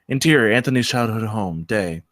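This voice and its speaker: A man's voice: on the low side, expressive, a little fast, a bit bright, no room sound, studio quality. A man reading screenplay stage directions aloud as scripted narration.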